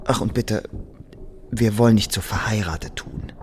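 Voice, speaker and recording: An actor playing an anxious, placating young newlywed husband in a radio play, eager, excitable and hesitant. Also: male voice, on the low side, normal speed, slight background noise, natural voice. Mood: anxious, pleading.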